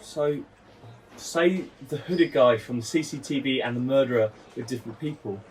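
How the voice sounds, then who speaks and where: An actor playing a practical, questioning police investigation assistant with a husky voice in an audio drama. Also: male voice, medium pitch, normal speed, darkish tone, slight background noise, lightly acted, low-quality recording. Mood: doubtful.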